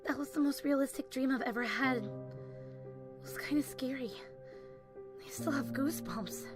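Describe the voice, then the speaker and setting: Young woman as high-voiced timid girl. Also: moderate pace, close to an everyday voice. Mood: quiet, shaken. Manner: hesitant, earnest. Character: earnest, timid young girl painter, easily distressed. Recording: reverberant, with music over it.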